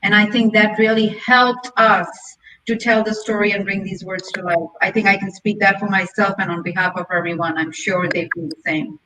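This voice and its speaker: A woman's voice: medium pitch, steady tone, a little fast, background noise. A middle-aged woman taking part in a video call as a guest actor, making casual, heartfelt remarks. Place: indoor room on a video call. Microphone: video call microphone. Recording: distant microphone on video call.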